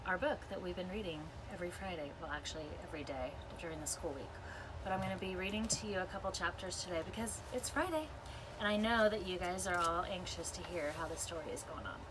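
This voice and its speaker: A woman reading a children's story aloud expressively, as a teacher does for students. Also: fairly low pitch. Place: inside an RV parked in a backyard. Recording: clear ambient noise.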